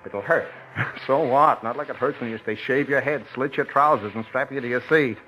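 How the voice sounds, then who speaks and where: An actor playing a scheming male prisoner who fakes guilt-crazed madness, switching between sly murmurs and theatrical shouting in a 1940s radio play style. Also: mid-range voice, brisk, slight background noise, lightly acted, low-quality recording. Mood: self-coaching.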